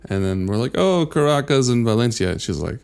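A man chatting casually as a podcast co-host, telling animated anecdotes with easy swearing. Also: medium pitch, brisk, vocal fry, clear, resonant tone. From born in Venezuela, left in infancy.

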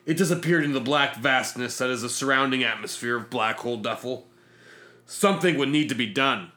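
A man's voice: medium pitch, brisk, pushed and bright. A man narrating a comic sci-fi story in a pompous, self-important, theatrical manner. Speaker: narrator reading a fictional diary.

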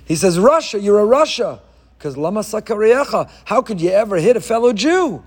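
A man's voice: high-pitched, brisk, breathy edge. A man giving a Torah lesson, telling the story with energy, acting out the people he quotes and mixing Hebrew verses into his talk. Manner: animated storytelling, acts out quoted figures. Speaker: Torah teacher.

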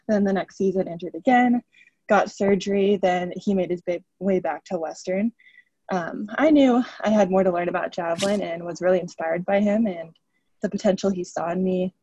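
A woman's voice: on the low side, darkish tone, dry recording, lo-fi sound. A woman in her twenties from Oregon, a student athlete chatting upbeat as a podcast guest, laughing often. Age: 20s. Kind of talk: podcast interview.

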